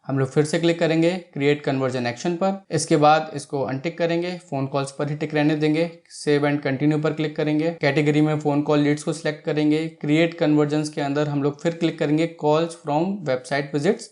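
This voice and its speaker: A man's voice: a little high, flat delivery, a little fast, hardly any pauses, a little mellow. A man presenting a step-by-step screen tutorial, explaining each action and often checking in, with English technical terms mixed in. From India.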